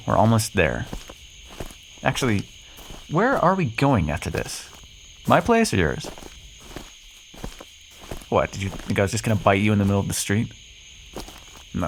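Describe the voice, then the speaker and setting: A man's voice: fairly low pitch, vocal fry, slight background noise, low-quality recording. A man playing a cocky, flirtatious, sardonic vampire in an ASMR roleplay, teasing the listener with pet names, sarcasm and swearing. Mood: exasperated, then wry, flirtatious.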